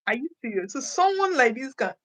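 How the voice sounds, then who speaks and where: A woman hosting a relaxed chat, bubbly and laughing often. Her voice sits fairly high and she talks with lively energy. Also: fairly quick. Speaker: host. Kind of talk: casual conversation.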